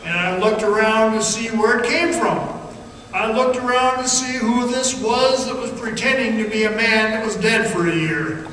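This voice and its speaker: A man playing a frightened miner recounting a haunting underground ordeal as a halting, suspenseful radio theatre monologue. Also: high-pitched, fairly even intonation, fairly bright tone. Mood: fearful, tense. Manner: halting, suspenseful, dramatic storytelling. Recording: noisy, very echoey hall, distant mic.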